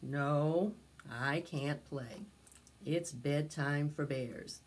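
A woman's voice: low-pitched, drawn-out words, slight background noise, voice pushed down. A woman voicing a sleepy, grumpy storybook bear who grumbles and protests. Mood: weary refusal.

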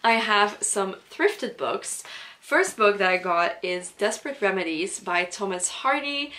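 A woman's voice: medium pitch, sharp tone. Young Dutch-speaking Belgian woman, gushing casual vlogger, recorded at home on a desk camera. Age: young adult. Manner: enthusiastic, gushing with repeated praise. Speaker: book vlogger. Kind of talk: casual chat and reading aloud. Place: small room at home, indoors.

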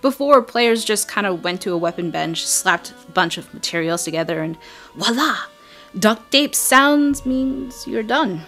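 Gaming channel girl doing a trailer breakdown, hyped and chatty, average pitch, music behind her. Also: measured pace. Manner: excited, chatty, emphatic delivery. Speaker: video game channel host. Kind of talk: game trailer breakdown voice-over, semi-scripted. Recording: voice-over with background music.

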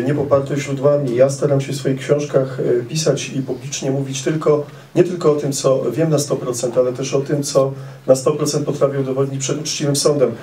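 A middle-aged man, an author at a public meeting, answering audience questions in a measured way with frequent hesitations, speaking into a handheld mic. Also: medium pitch, mellow, a little hiss or hum. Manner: measured, many "e" fillers, precise. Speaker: author at a meet-the-author event. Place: indoor event hall with audience. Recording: roomy hall sound, camera some distance off.

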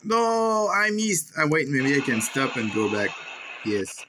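A man's voice: on the high side, sing-song, measured pace, noisy background. A man giving casual, slangy, exclaiming commentary as he films a shortwave radio scan on a handheld phone, easily amused. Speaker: radio hobbyist filming a scan. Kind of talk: casual excited commentary to camera. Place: indoors at a desk. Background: shortwave radio playing.

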